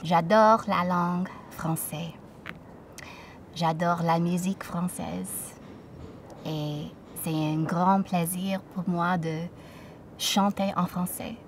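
A woman in her thirties, a native English speaker from Toronto with an English Canadian accent, speaking in a hesitant, soft-spoken way with many pauses. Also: lowish voice, steady tone, recorded from a distance, slight background noise. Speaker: singer-songwriter. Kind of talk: casual spoken introduction. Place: outdoor backyard deck. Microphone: studio mic with pop filter, slightly off-axis.

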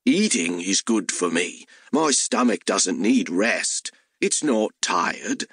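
A man's voice: low voice, very animated, takes it slowly, fairly bright tone, breathy edge, no room sound, clean studio sound, exaggerated voice. A man voicing a simple, literal-minded, food-loving talking hellhound in an audiobook, with childlike phrasing. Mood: earnest, simple.

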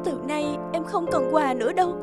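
High-voiced plaintive cartoon wife played by a woman. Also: brisk, lightly acted. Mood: tender. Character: emotional working wife and mother in a cartoon. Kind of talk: animated story voice acting. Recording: background music.

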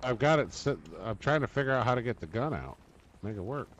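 Mid-pitched man chatting in a game. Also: expressive, a little fast, fairly bright tone, dull audio, a little hiss or hum. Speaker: online game player. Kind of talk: casual gameplay chat.

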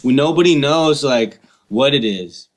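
A man's voice: mid-range voice, animated, slow pace. A man hosting a casual interview chat, talking in a slangy way with lots of swearing. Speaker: podcast host.

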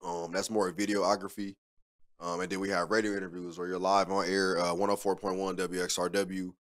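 A fairly low-voiced young man from Haiti, rattling along quickly as a podcast guest. He sounds laid-back and loose, piling on fillers and side comments. He speaks into a desk mic in a studio set. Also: flat delivery, a bit bright. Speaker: interview guest, media producer. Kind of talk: casual podcast interview. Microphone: desk mic on stand.

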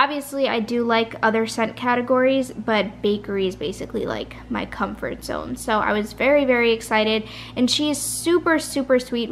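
Tired, soft-spoken woman vlogger, rambling. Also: on the high side, a little hiss or hum. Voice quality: soft, a little raspy. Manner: rambling, chatty. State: tired, late at night. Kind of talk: casual chat. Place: kitchen at home. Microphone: camera at a kitchen table.

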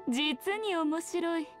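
A woman's voice: very high voice, a bit bright, heavily acted. A woman voicing a teasing, haughty shrine maiden fox spirit in a Japanese anime game, with playful, archaic, languid speech. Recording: music behind the voice. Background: game music.

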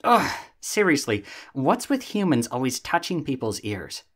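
A man's voice: mid-range voice, big pitch swings, a little slow, fairly bright tone. A man playing a sassy, vain young catboy roommate in an ASMR roleplay, talking in a casual, theatrically huffy way. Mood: huffy, smug.

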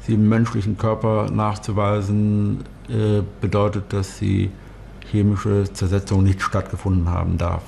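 A man's voice: on the low side, little pitch movement, a little slow, vocal fry, a little mellow, a little hiss or hum. A man giving explanatory expert commentary for a documentary. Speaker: expert interviewee in voice-over translation.

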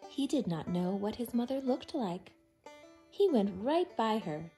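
A woman reading a picture book aloud expressively to young children, in simple, deliberate phrases. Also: a little high, big pitch swings, dark tone, faint music behind. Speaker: children's storytime reader.

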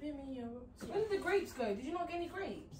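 A woman's voice: high-pitched, lively intonation, fast talker. A teenage girl, the vlogger's daughter, chatting cheekily and teasing her mother. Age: teenager, sixteen. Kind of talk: casual family banter. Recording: distant phone mic in an echoey home with loud noise.

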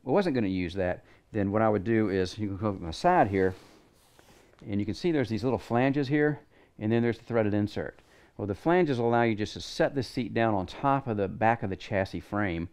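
Middle-aged guy with a lowish voice, chatting loosely through a build in his garage, lots of uh and you know. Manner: relaxed, rambling, thinks aloud with fillers. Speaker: product reviewer. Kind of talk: casual hands-on review. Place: home garage workshop, indoors.

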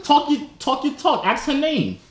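A man's voice: very high voice, wildly swooping pitch, moderate pace. A young man joking and teasing in slangy banter with a stranger on a video chat, egging his little brothers on. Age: young adult. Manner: playful, teasing slang talk. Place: small room indoors. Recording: echoey room with clear background noise.

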